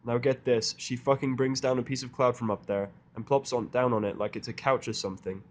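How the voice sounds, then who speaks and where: Man, average pitch, first-person story narration, in character, sardonic, dry delivery. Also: steady tone, a little fast. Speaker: audiobook narrator.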